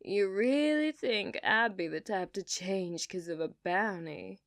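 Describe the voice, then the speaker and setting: Slow, drawling cowgirl outlaw, played by a woman. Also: mid-range voice, dry recording, clean studio sound. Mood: menacing. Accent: put-on Southern US. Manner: teasing, drawling, slips into soft whispers. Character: obsessive, dominant female outlaw cowgirl. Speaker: ASMR performer. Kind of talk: ASMR roleplay.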